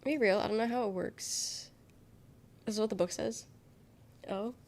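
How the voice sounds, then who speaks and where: A woman's voice: medium pitch, normal speed, a little mellow. A young woman livestreamer chatting casually with viewers, her voice nasal and worn from being sick, rambling as she reads chat aloud. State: sick, congested voice.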